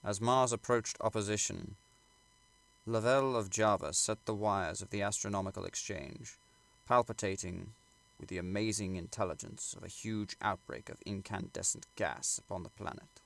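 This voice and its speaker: Creaky, low-voiced man reading a classic novel. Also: steady tone, normal speed, a bit bright. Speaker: audiobook reader. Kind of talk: scripted literary narration.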